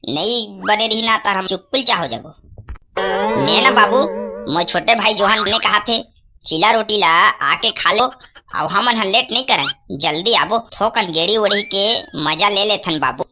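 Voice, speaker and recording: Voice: mid-range voice, moderate pace, a crowd in the background, close to an everyday voice. An actor playing a cheeky, eager village boy in a cartoon comedy, impatient and argumentative, with a Chhattisgarhi accent.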